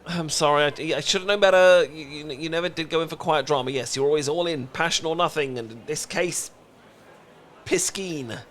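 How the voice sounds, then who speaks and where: A British man acting a flustered, emotionally repressed and lovesick best friend in a comedic romantic audio roleplay, stammering and pleading in halting phrases. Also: fairly high pitch, a bit bright, a little hiss or hum, natural voice. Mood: sheepish, exasperated.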